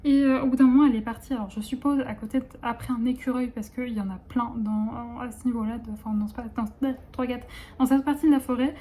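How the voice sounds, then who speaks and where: Woman, late 20s, casual vlogger; rambling, filler-heavy talk, warm dark tone, phone recording. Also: fairly high pitch, fairly even intonation, quick pace. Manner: chatty, rambling, many fillers and restarts. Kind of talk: casual vlog chat.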